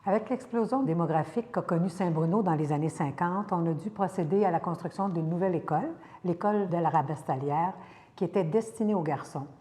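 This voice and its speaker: An older woman with a Quebec French accent tells local history in a careful, measured way, pausing now and then. Her voice is mellow and a little low. A long reverb trails behind her words. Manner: measured and careful, occasional hesitations. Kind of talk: prepared historical narration.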